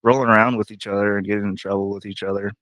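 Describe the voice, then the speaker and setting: Laid-back low-voiced young man. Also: little pitch movement, very fast, a bit bright, no echo. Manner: laid-back, swears freely, rambling storyteller. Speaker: musician guest. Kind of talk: casual interview chat.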